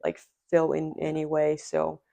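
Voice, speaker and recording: A young woman talks as a podcast guest. Her voice sits a little low. She speaks softly and casually, trailing off into "like" and "um". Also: monotone, unhurried, creaky. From Brazil. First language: Portuguese. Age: young adult. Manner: soft-spoken, often trailing off with "like" and "um". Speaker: guest, college basketball player. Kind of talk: casual interview talk.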